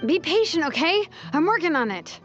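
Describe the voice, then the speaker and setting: A woman's voice: extremely high pitch, sing-song, normal speed, a bit bright, full character voice. A woman playing a laid-back young female technician in a game, casual and matter-of-fact. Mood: unhurried. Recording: slightly muffled game audio with music.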